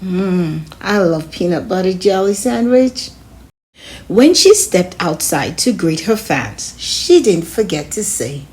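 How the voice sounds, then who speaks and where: Animated woman reading a children's storybook. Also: lowish voice, takes it slowly. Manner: expressive, addresses young listeners with questions. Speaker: children's read-aloud host. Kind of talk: storybook read-aloud narration.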